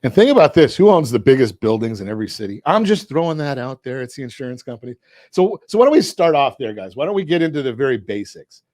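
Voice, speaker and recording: A man's voice: mid-range voice, sing-song, quick pace, a little mellow, no echo, clean studio sound. A man hosting a finance talk radio show, speaking with energy and cracking jokes as he asks his guests leading questions. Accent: Southern Californian. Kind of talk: talk radio with a sponsor read. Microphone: studio mic.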